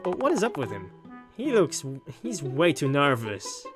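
A woman's voice: low voice, huge pitch swings, unhurried. A woman doing a casual visual novel let's play, chatty and informal with teasing asides. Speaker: gaming video host. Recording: loud music behind the voice. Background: game music.

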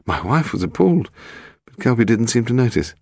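A man's voice: mid-range voice, big pitch swings, brisk, gravelly, a little mellow, no room sound, studio quality, voice pushed up. A man voicing a chatty, slightly flustered book publisher in an audiobook.

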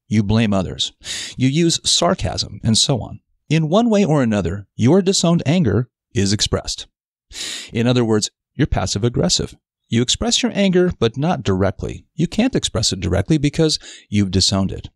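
A man reading a scripted self-help article as narrator, in a measured, deliberate, instructive way. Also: mid-range voice, dry recording, clean studio sound.

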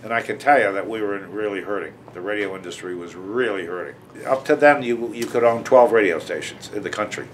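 A man's voice: fairly low pitch, a little fast, hardly any pauses, slight background noise, low-quality recording. A man in his sixties, a radio industry veteran, giving a plain-spoken documentary interview. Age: 60s. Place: indoors, office. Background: music.

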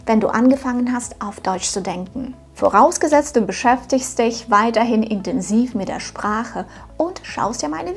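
A woman's voice: a little high, husky. A woman in her thirties, not a native speaker, teaching a scripted video lesson to camera from a home studio in an upbeat, encouraging way. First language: not German. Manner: upbeat, encouraging, addresses viewer directly. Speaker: German teacher, channel host.

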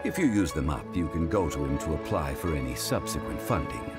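A dignified, learned gentleman from a fantasy game. His low voice is formal and solemn, with measured phrasing and plenty of melodic movement. Music plays clearly behind him. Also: lively intonation. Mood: calm, dignified. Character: dignified, learned young-looking male funeral parlor consultant. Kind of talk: video game character dialogue.

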